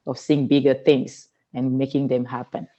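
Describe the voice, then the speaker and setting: A woman's voice: a bass voice, level tone, moderate pace, mellow, muffled recording. Cameroonian-accented woman, earnest and flowing. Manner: earnest, flowing, non-native phrasing. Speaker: guest, spiritual teacher. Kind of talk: podcast interview.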